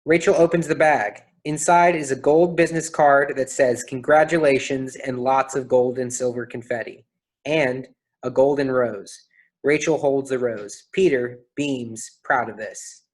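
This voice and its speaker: Stage directions reader with a medium-pitched voice. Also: fairly even intonation, unhurried. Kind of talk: scripted narration of a play reading.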